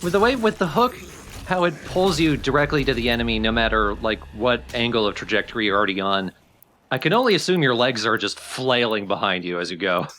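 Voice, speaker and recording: A man's voice: medium pitch, expressive, brisk, sharp tone, slight background noise. A man giving casual, wry, conversational co-commentary on a video game. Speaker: let's play co-commentator.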